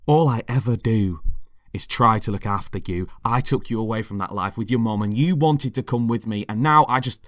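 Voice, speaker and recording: Here is a volatile boyfriend in an audio drama, acted by a man reading the script. His voice is low and close in a dry recording. He swings between possessive menace and wounded pleading. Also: normal speed, few pauses, no room sound, close to an everyday voice. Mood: hurt, pleading.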